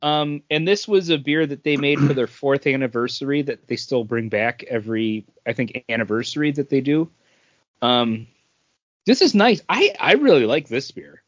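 A man's voice: medium pitch, expressive, moderate pace, dry recording, muffled recording. A man hosting a podcast, chatting casually in a rambling way about craft beer. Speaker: podcast host.

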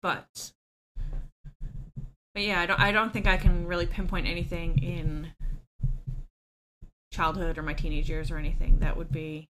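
A woman's voice: lowish voice, flat delivery, normal speed, pauses often, background noise. A woman, a podcast guest who talks openly about her own life, often with small laughs and ums. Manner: open and self-deprecating, laughs easily, frequent ums. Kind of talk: candid personal storytelling.

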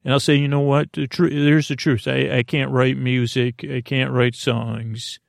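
A man with a creaky voice hosting a sleep podcast, giving a halting, meandering, absurd monologue that keeps trailing off and restarting. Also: mid-range voice, flat delivery, no echo, studio quality. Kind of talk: rambling, absurd bedtime monologue.

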